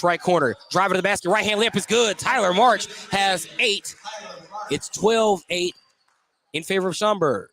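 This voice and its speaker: A man's voice: high voice, fairly bright tone. A man with an American accent doing live radio play-by-play commentary of a basketball game in clipped phrases. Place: indoor basketball gym.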